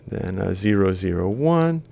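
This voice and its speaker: A man's voice: deep voice, expressive, a little slow, creaky, mellow. A man giving an instructional lecture as he works on screen, explaining step by step and reciting values piece by piece. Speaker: university lecturer. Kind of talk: instructional screen-capture lecture, thinking aloud while writing.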